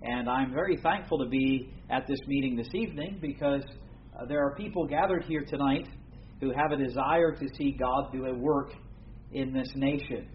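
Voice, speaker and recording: A man from the United States preaching a sermon to an evening gathering, earnest and formal, pausing often with fillers. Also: medium pitch. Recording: dull lo-fi recording with faint room noise.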